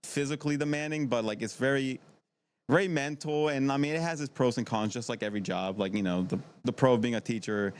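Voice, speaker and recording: A man's voice: mid-range voice, quick pace, clean tone. A man in his twenties from Maryland, chatting casually as an interview guest in a rambling, repetitive way. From from Maryland, United States. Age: 20s. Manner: conversational, rambling, repeats himself. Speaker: podcast guest, middle school PE teacher. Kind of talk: casual chat. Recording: noticeable background noise.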